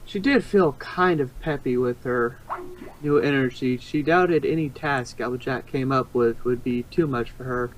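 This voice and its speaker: Man casually narrating a story, mid-pitched. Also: measured pace, poor audio. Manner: informal, stumbles and rereads. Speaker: narrator, fan fiction reader.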